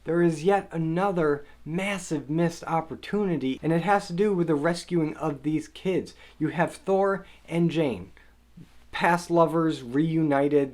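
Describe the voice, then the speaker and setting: A man's voice: fairly high pitch, a little mellow. A man talking through a spoiler review of a superhero movie, asking a string of questions about the plot and sounding mildly exasperated with it. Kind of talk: spoiler film review monologue.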